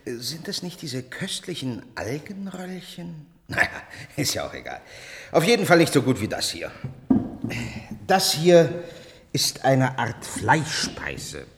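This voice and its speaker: An actor playing a genial, eccentric physics professor in a German radio play, jovial and coaxing, with hesitant asides. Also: male voice, medium pitch, wildly swooping pitch, slow, gravelly, heavily acted. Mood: exuberant, amused. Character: genial, eccentric, unhurried male physics professor, Nobel laureate. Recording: reverberant hall with background noise.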